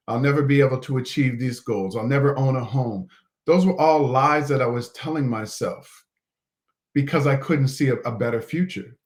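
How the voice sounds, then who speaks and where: A man in his forties from Nova Scotia, a coach speaking earnestly as a podcast guest. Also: mid-range voice. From Nova Scotia, Canada. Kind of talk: motivational interview talk.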